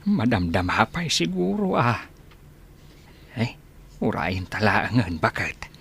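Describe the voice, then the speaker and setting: A man's voice: lowish voice, very animated, slow, gravelly, fairly bright tone, slight background noise, exaggerated voice. A man playing an old, humble rural husband who herds cattle in an Ilocano radio comedy-drama, speaking in a drawl full of hesitant interjections. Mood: puzzled. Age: older.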